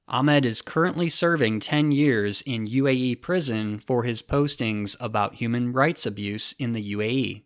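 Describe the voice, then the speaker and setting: Male podcast host, scripted news narration, measured delivery, mid-pitched voice, close dry recording. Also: a bit bright, dry recording.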